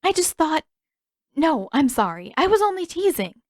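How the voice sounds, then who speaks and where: A woman voicing a gentle, earnest young woman in a story, shy, sincere and careful in how she talks. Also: high voice, big pitch swings, normal speed, no room sound, clean studio sound, pitched above the performer's own voice. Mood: hasty, apologetic. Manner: hesitant, careful.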